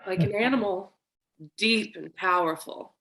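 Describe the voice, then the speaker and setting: A woman playing a frightened, flirtatious femme fatale wife in a staged noir parody, with drawn-out melodramatic delivery. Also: mid-range voice, a bit bright, poor audio. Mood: sultry, fearful. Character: frightened, seductive femme fatale wife, breathy damsel in distress.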